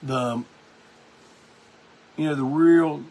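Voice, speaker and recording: Husky-voiced man hosting a music show. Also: a little high, very slow, darkish tone. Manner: rambling, enthusiastic, folksy with frequent restarts. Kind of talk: casual music talk.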